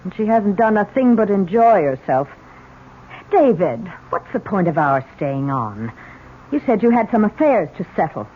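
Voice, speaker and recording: On a muffled, faintly hissy radio broadcast, a jealous wife played by a woman needles and accuses. Her delivery is bitter and sarcastic, swinging freely in pitch in the manner of 1970s radio drama. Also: very animated, normal speed, lightly acted.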